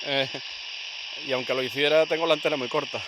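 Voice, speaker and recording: A man chatting casually and off the cuff as a radio hobbyist, in a halting, unscripted way. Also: mid-range voice, fairly even intonation. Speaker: radio hobbyist recording a vlog. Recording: loud radio static and hiss. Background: crackling CB walkie-talkie reception.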